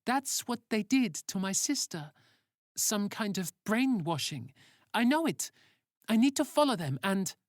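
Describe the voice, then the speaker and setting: A rebellious teenage boy in an audio story as a narrator acts him out, his voice pushed well up in pitch. He pleads earnestly and insistently, with lively swings and a close, dry sound. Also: a little slow, dry recording.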